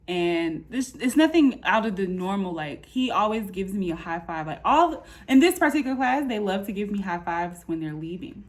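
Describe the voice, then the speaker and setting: A woman's voice: medium pitch, normal speed, hardly any pauses. A woman of thirty giving a casual personal update, talking in a candid, informal, slangy way. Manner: candid, informal, slangy, animated retelling with quoted asides. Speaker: vlogger. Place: apartment room, indoors.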